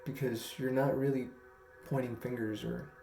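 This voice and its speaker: Slow, gravelly young man, mellow and reflective. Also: medium pitch, steady tone. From Guam. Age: young adult. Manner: slow, halting, earnest, with long pauses. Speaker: storytelling vlogger. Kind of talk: reflective personal talk to camera. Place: small room at home, indoors. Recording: roomy echo, faint music.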